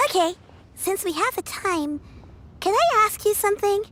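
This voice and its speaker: A woman's voice: very high voice, animated, normal speed, a bit bright, slight background noise. A woman voicing an earnest, chatty little girl in a video game, talking in casual, childlike phrases. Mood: curious, confiding.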